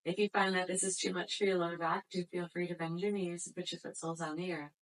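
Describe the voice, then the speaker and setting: A woman guiding a floor exercise class as instructor, giving slow, gentle, soothing cues. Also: lowish voice, little pitch movement, rough recording. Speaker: yoga instructor.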